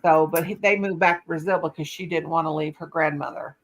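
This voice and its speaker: A woman's voice: deep voice, steady tone, a little fast, slight background noise. An older woman hosting a casual live chat on her laptop, telling a rambling story full of restarts. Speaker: channel host.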